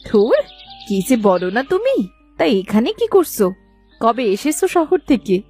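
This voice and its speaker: A woman's voice: high-pitched, huge pitch swings, a little slow, dark tone, exaggerated voice. A woman playing a guarded, nervous village sister-in-law in a cartoon story, speaking in a chatty but evasive way. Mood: teasing, reproachful. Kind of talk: dramatized Bengali cartoon story dialogue. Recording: music behind the voice.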